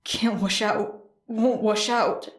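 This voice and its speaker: Audiobook inner tormentor, woman performer: eerie, menacing, chant-like, unhurried, mid pitch, drenched in echo. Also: steady tone. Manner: slow, eerie, chant-like delivery. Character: haunting, menacing remembered voice taunting a young woman. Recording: echoing voice effect.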